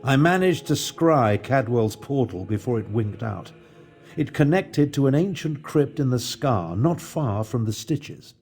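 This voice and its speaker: Grave, formal mage, muted tone. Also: male voice, mid-range voice, lively intonation, a little mellow, breathy edge, soft background music. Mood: grave, urgent. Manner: formal, grave, deliberate phrasing. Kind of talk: video game dialogue.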